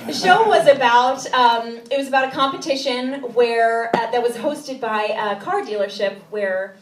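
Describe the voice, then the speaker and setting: A woman's voice: on the high side, moderate pace, distant mic, noisy background. A woman cabaret singer giving casual, chatty, giggly stage banter between songs, often interrupting herself. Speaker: cabaret singer introducing a song. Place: small cabaret stage before an audience. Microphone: distant audience camera. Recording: echoey live hall recorded from afar.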